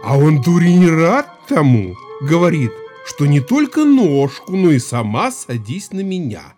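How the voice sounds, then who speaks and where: A rustic Cossack villager telling an eerie tall tale in an audio drama. His delivery is very unhurried yet swings widely in pitch, folksy and emphatic, with music underneath. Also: sing-song, a little mellow. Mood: ominous, scornful. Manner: folksy, emphatic, exclamatory storytelling. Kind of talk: theatrical audio play acting.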